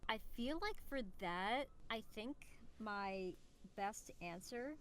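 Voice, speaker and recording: Bright-voiced woman, casual podcast guest. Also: mid-range voice, lively intonation, slow, slight background noise. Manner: informal, thinks aloud with frequent "like". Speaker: voice actor, interview guest. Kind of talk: casual interview chat.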